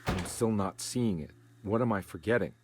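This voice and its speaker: An actor playing a brooding, guilt-ridden, world-weary private detective in a video game, speaking in a halting noir manner. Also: male voice, fairly low pitch, background noise, close to an everyday voice. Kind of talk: noir narration and dramatic game dialogue.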